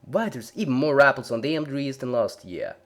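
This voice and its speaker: A folksy cartoon farm mare, acted out by a man, speaks. The delivery is loud, rustic and friendly, as in a storybook reading. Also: mid-range voice, lively intonation, normal speed, voice pushed up, lightly acted. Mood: cheerful. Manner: folksy, drawling rustic dialect.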